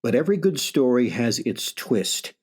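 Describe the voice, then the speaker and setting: An actor playing a grandiloquent, ominous announcer, narrating an old-time style radio play with a husky voice. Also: male voice, medium pitch, big pitch swings, moderate pace, natural voice. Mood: ominous, knowing.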